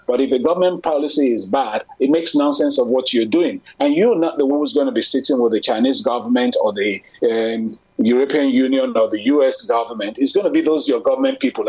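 Husky-voiced man, earnest and persuasive. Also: medium pitch. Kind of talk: conversational discussion. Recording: lo-fi muffled audio.

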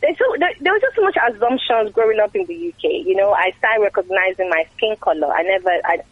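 A woman's voice: medium pitch, animated, fast talker, breathy edge, narrow, tinny audio. A woman from Nigeria, a guest in an interview, talking casually and chattily with frequent fillers and repeated words.

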